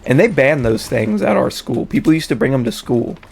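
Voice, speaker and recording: A young man, slightly hoarse and stuffed up with a cold, telling a story in casual, slangy, sweary talk. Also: medium pitch, animated, a little fast, darkish tone. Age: young adult. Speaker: storytelling host.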